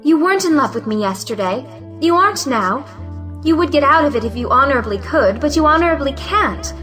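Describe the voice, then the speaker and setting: A spirited young woman from an old stage comedy, played by an actress. Her high female voice is bright and forceful, frank and emphatic with proud dignity, in an audio play. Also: lively intonation, brisk, soft background music.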